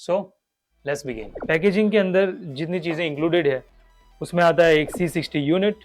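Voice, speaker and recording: A man's voice: a little high, normal speed, soft background music. An Indian man hosting a gear review, presenting features in a scripted way and mixing English phrases into his talk. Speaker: host of a motorcycle gear review channel. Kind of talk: scripted product review to camera.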